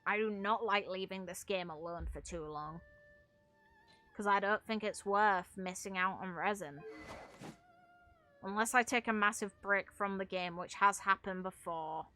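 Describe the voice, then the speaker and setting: Casting: female gaming commentator, sharp bright tone, blunt opinionated chat with swearing, dry close recording with faint music behind. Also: medium pitch, unhurried. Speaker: game streamer and video creator.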